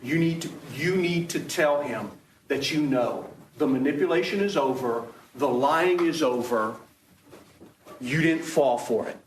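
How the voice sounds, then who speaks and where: A man speaking as a prosecutor to a jury, making an emphatic, deliberate closing argument with repeated phrases, recorded in a courtroom. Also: medium pitch, reverberant, a little hiss or hum. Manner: emphatic, deliberate, repetitive rhetoric. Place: indoor courtroom.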